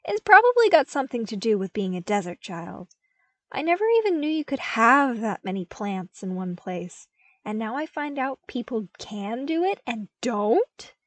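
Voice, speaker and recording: A woman's voice: fairly high pitch, sing-song, moderate pace, fairly bright tone, dry recording. A woman voicing a cheerful, outspoken young male apprentice in a fan fiction audiobook, chatty and emphatic. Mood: cheerful, mock-disappointed. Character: cheerful, outspoken young desert-born man, eager apprentice.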